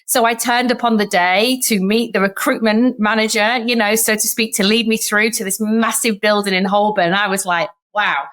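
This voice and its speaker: Woman, Sheffield English accent, thirties, chatty storyteller, acts out dialogue, middle pitch, podcast guest. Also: fairly even intonation, normal speed, few pauses, a bit bright. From Sheffield, England. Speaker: guest, startup founder. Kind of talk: podcast interview.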